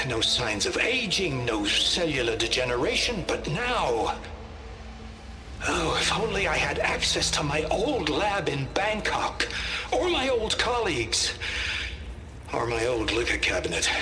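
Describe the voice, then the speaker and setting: A man's voice: a little high, roomy sound. A man playing a weary scientist father in a game, keeping a wry, anxious, emotional log. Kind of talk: recorded log monologue.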